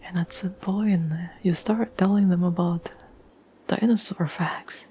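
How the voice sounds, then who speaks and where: A woman playing a caring, tender girlfriend in an intimate ASMR roleplay, speaking in a soft, breathy whisper with gentle, halting pauses. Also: on the low side, steady tone. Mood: fond, gently amused. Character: caring, tender girlfriend reassuring her troubled partner.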